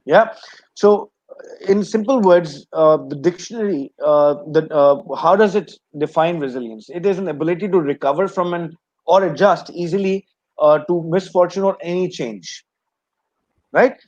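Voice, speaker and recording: A man speaking as a guest on a video call, explaining an idea and pausing often to find his words. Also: on the high side, a little mellow, no echo, muffled recording. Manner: hesitant, many fillers and restarts. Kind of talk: explanatory panel talk.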